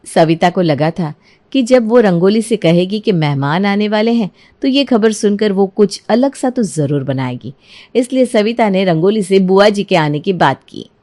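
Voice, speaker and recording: A woman's voice: mid-range voice, lively intonation, moderate pace, warm tone. A woman narrating a scripted cartoon moral tale in a storybook style.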